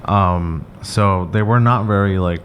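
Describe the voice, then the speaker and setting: A young man from the US with a somewhat low voice tells stories as a podcast guest. He pokes fun at himself and chuckles through his anecdotes. A faint background hiss is present. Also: unhurried, fairly bright tone. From United States. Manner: self-mocking humor, chuckling. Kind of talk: casual interview chat.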